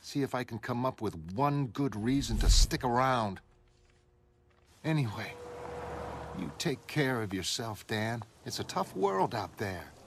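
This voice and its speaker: A man's voice: mid-range voice, animated, a little slow, a little hiss or hum. Man voicing a weary, tough young superheroine. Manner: casual, wry, weary. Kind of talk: motion comic character acting. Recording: music behind the voice.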